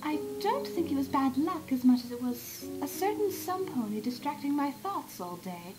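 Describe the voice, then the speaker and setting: A woman's voice: sits high, very animated, moderate pace, poor audio. A woman voicing a refined female cartoon unicorn with a genteel, theatrical manner in a fan audio drama. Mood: coy. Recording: background music under the voice.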